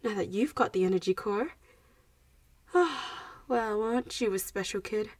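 A woman's voice: mid-range voice, expressive, measured pace, darkish tone, no room sound. Woman acting an anxious vampire schoolgirl, slightly distant. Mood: reassuring, apologetic. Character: anxious, protective popular vampire schoolgirl, student representative. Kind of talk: audio roleplay addressed to the listener.

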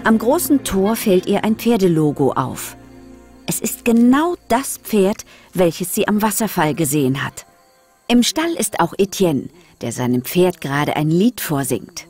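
A woman narrates a children's audio story. Her voice sits a little low and moves with very lively intonation. Music plays clearly behind her. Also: unhurried. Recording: music under the voice.

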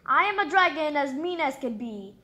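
A male storybook dragon read by a woman speaks in rhyme with a high, bright voice. He is a hungry creature out of a children's picture book. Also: expressive, normal speed. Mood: menacing. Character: mean, hungry male dragon who turns cowardly.

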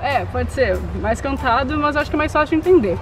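A woman of about eighteen, chatting casually outdoors in a grassy field and pausing to think as she talks. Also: sits high. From Brazil. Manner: relaxed, thinks aloud with pauses. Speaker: vlog co-host. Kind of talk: casual chat. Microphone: camera mic at arm's length.

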